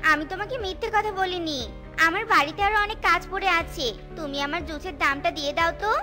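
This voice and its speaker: A woman's voice: extremely high pitch, fast talker. A woman voicing an honest, hardworking mother bird in a children's cartoon, speaking earnestly and expressively. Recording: music under the voice.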